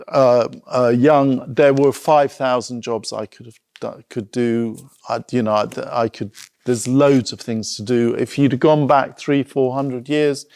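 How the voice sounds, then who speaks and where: A middle-aged man giving an informal public talk in a reflective, hesitant way, pausing as he thinks aloud. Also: medium pitch, darkish tone, clear, resonant tone, dry recording, studio quality. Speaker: art school director giving a talk.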